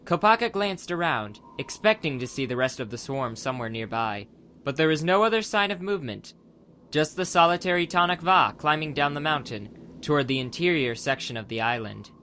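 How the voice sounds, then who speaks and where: A man's voice: a little high, animated, fairly quick, sharp tone, a little hiss or hum. A man narrating a dramatized adventure audiobook from a script as the storyteller.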